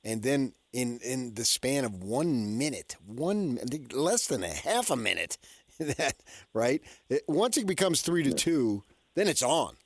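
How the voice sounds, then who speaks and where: A man's voice: medium pitch, big pitch swings, unhurried, no echo. A man hosting casual sports talk on the radio, speaking emphatically in short, repeated phrases.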